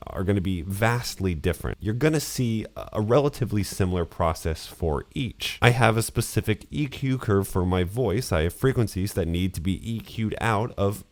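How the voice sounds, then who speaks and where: A man with a deep voice and a touch of nasal quality talks through audio plugins at an easy, explanatory pace. He sounds dry and close on a studio condenser mic, with no room sound around him. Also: normal speed, hardly any pauses, no room sound. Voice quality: slightly nasal voice. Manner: relaxed, explanatory, casual with fillers. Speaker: audio engineer teaching voice mixing. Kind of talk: screen-recorded mixing tutorial. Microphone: studio condenser microphone close up.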